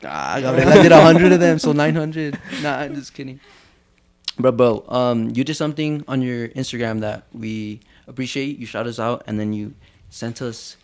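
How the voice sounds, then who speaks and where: A man's voice: medium pitch, normal speed. A young man co-hosting a podcast, talking casually, joking around and using slang along with Spanish phrases. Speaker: podcast co-host. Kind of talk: casual chat.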